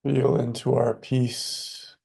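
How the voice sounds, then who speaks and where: A man leading a guided meditation in a soothing, unhurried way, with spare phrases and long pauses between them. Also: mid-range voice, level tone, creaky, mellow, slightly rough.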